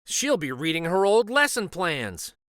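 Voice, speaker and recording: A man voicing earnest, excitable young schoolchildren in a picture-book read-aloud, speaking in an eager, expressive way. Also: sits high, measured pace, dry recording, clean studio sound, voice pushed up, exaggerated voice.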